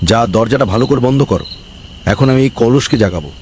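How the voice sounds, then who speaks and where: A man acts out a greedy, bossy village councilman from a comic cartoon, cowardly underneath his pomp. He speaks mid-pitched, with melodramatic exclamations. Clear noise and night insects sit behind him. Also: fast talker, a little mellow, close to an everyday voice. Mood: pompous, greedy. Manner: pompous, exclamatory, pleading when frightened.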